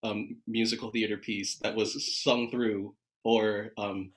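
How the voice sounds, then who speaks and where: A man's voice: lowish voice, level tone, slow pace, poor audio. An American man from California, a choir conductor, talking in casual conversation. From San Diego, California, United States.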